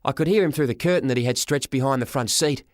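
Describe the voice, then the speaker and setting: A man narrating a children's story in the first person as an expressive audiobook reader. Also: medium pitch, fast talker, no room sound, clean studio sound.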